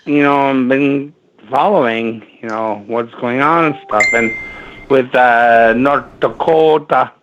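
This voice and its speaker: Slow, halting man on a dull phone line. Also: mid-range voice, steady tone, fairly bright tone, a little hiss or hum. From Minneapolis, United States. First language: Latvian. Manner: hesitant, halting, full of fillers. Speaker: caller. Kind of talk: rambling phone call to a radio show. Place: front porch outdoors.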